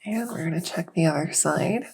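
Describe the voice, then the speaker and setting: A woman playing a gentle fae doctor in a soft-spoken ASMR medical roleplay, reassuring and unhurried, sometimes slipping toward a whisper. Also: low voice, vocal fry, slightly rough, exaggerated voice. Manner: unhurried, reassuring, hesitant pauses. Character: gentle female fae doctor with buggy wings and scaly tail. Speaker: audio roleplay performer.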